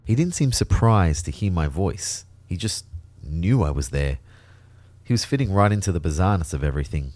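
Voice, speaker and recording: A man's voice: low-pitched, expressive, moderate pace, darkish tone, no room sound. An actor playing an anxious everyman who narrates a sci-fi audio drama in a wry, understated way. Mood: wry, uneasy. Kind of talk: scripted first-person audio drama narration.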